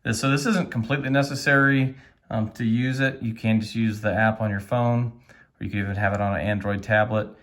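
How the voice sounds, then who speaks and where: A man's voice: on the low side, fairly even intonation, brisk, fairly bright tone. A man giving a casual hands-on tutorial, thinking aloud in a tentative way as he demonstrates a device. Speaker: product reviewer demonstrating a device.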